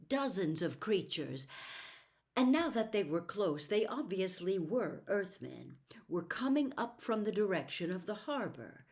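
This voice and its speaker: Slow-paced woman reading a storybook. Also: medium pitch. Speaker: audiobook reader. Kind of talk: expressive scripted storybook narration.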